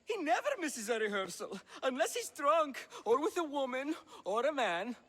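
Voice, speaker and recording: An actor playing a fretful, self-important comic playwright in a video game, theatrical and pompous, in a reverberant space. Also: male voice, very high-pitched, big pitch swings, moderate pace, fairly bright tone, heavily acted. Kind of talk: video game cutscene acting.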